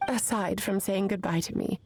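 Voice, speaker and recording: A woman voicing a wistful witch, once cheerful and now quietly sad, with a creaky edge and a rueful, sighing manner. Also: mid-range voice, steady tone, moderate pace, noisy background, lightly acted. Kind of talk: video game voice acting.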